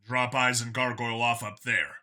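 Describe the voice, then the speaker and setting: Male space cop as a narrator plays him, very bright forceful tone, curt, dry, authoritative, audiobook hero. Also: mid-range voice, little pitch movement, moderate pace. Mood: curt, decisive. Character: human male alien-world cop, calm, commanding, dryly confident. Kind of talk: audiobook character voice.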